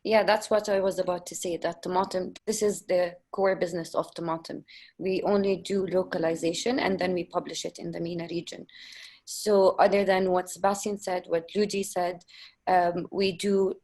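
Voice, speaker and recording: A woman's voice: fairly low pitch, little pitch movement, normal speed, a little mellow. A woman on a webinar panel over a video call, explaining her company's work steadily with some ums.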